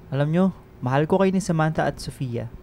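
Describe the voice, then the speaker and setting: A man playing a kindly, affectionate father figure in a radio drama, with a gentle manner. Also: fairly high pitch, lively intonation, moderate pace, gravelly, slight background noise. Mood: fatherly. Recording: music bed behind the voice.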